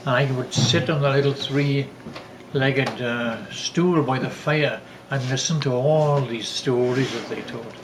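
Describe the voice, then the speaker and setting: An older man with a Welsh accent tells family stories casually, often halting to search for words and repeating phrases. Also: medium pitch, noisy background. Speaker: father telling family stories. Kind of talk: casual reminiscing storytelling.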